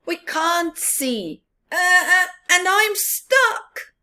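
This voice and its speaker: Lady doing a noisy little storybook fox, high and swoopy, eager and whiny, really emphatic. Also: lively intonation, extremely slow, bright, forceful tone, exaggerated voice. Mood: fussy, plaintive. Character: noisy young fox, eager, fussy and plaintive.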